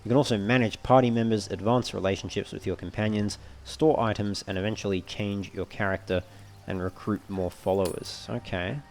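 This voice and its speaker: Low-voiced man giving game commentary. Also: steady tone, a little fast, darkish tone, slight background noise. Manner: thinks aloud while reading menus. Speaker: let's player. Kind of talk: casual gameplay walkthrough commentary.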